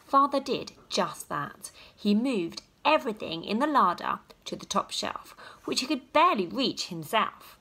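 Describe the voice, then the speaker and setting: Woman reading a kids storybook, slow and super expressive, voice swoops around a lot, really dramatic. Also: mid-range voice, breathy edge. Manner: dramatic, emphatic storytelling with drawn-out words. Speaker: storybook reader. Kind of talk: expressive children's story narration.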